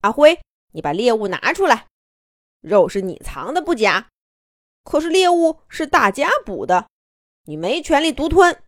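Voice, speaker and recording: A woman voicing a pack of quarrelling adult wolves, arguing loudly with a raised voice. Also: a little high, very animated, unhurried, long pauses, no room sound, clean studio sound, voice pushed up. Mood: quarrelsome.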